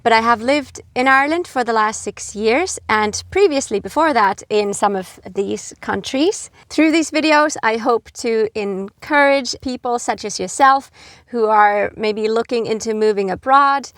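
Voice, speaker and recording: A middle-aged woman from Finland giving earnest, gentle advice to camera, with frequent fillers like "you know". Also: fairly high pitch, a little slow, no room sound, clean studio sound. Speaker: expat vlogger.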